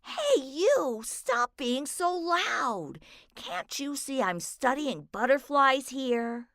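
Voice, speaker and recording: A woman's voice: mid-range voice, wildly swooping pitch, takes it slowly, creaky, a bit bright, no room sound, heavily acted. A prim, bookish turtle who speaks politely and a little fussily, as a woman puts him on. He tends to mutter under his breath. The sound is dry and close.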